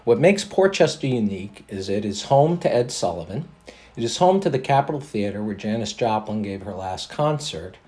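An older American man reading for a voice-over demo in a measured, articulate way. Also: fairly low pitch, animated, mellow. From New York State, United States. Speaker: voice actor.

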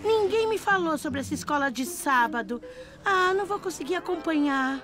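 A woman with a Brazilian accent voicing a gullible, easily worried young boy dirt bike in a cartoon, excitable and melodramatic. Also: high-pitched, normal speed. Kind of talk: animated children's cartoon dubbing. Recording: loud music behind the voice.

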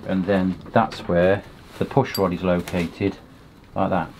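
Man, middle-aged, low voice, practical explainer, boat cabin, noisy background. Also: little pitch movement, slow pace, a little mellow. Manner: practical, explaining engine parts step by step. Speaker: mechanic friend helping with the engine.

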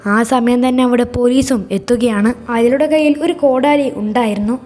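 Mellow, higher-voiced woman narrating horror. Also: moderate pace. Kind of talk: scripted first-person storytelling.

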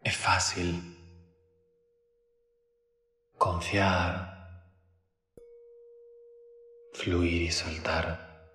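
A man leading a guided meditation in short phrases with long pauses, sometimes dropping to a whisper. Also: low-pitched, flat delivery, pushed and bright. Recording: roomy long reverb with faint background music.

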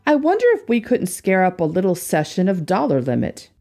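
A woman's voice: on the low side, big pitch swings, fairly quick, dark tone, faint music behind. A woman voicing a sly, easygoing middle-aged businessman.

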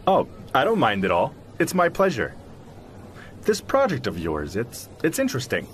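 A man playing an agreeable, very animated zoologist. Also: mid-range voice, normal speed, slight background noise. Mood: friendly, supportive. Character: agreeable, supportive male zoologist friend of the hunter. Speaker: interviewee. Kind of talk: conversational audio drama acting.

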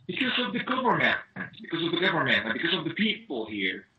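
A man's voice: fairly high pitch, wildly swooping pitch, quick pace, lo-fi sound. A man speaking earnestly over a video call, often saying you know. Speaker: caller.

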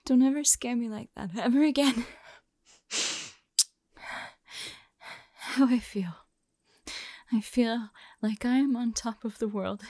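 A woman playing a vulnerable, devoted woman in an intimate audio roleplay, halting and often close to a whisper. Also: fairly high pitch, no room sound, lightly acted. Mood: relieved, emotional. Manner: soft, breathy, halting, often near whisper.

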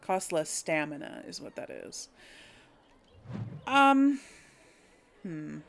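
Slow-paced woman musing over a game. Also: fairly low pitch, big pitch swings, vocal fry. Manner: hesitant, musing to herself. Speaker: gaming video host. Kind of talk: casual game commentary, thinking aloud.

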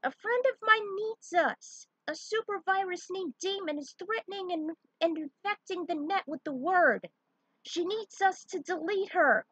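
A woman's voice: extremely high pitch, unhurried, fairly bright tone, no room sound, voice pushed up, low-quality recording. A woman voicing a bold female space bounty hunter in a story, calling out urgent orders. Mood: urgent shouting.

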